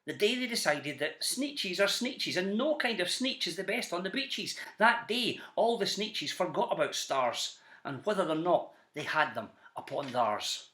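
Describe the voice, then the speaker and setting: A Scottish man reading a rhyming children's story aloud in an animated storyteller style. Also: high-pitched, a little slow. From Scotland. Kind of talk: animated storybook narration in rhyme.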